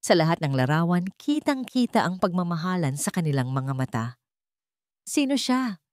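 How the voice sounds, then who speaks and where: A woman's voice: on the low side, lively intonation, brisk, darkish tone. A woman with a Filipino accent narrating a scripted dramatic story.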